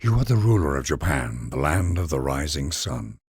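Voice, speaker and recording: Male game narrator, gravelly, dry close sound, solemn, grandiose, formal storytelling. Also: very deep voice, big pitch swings, normal speed, darkish tone, no echo. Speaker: narrator of game leader introductions. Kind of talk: scripted dramatic narration in a video game.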